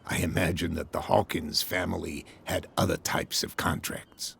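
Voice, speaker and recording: An actor playing a proud, courteous harbor captain in a video game, with a raspy, gravelly voice and stately phrasing. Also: male voice, low voice, little pitch movement, moderate pace, a bit bright, slight background noise, heavily acted. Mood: assured. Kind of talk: video game cutscene dialogue.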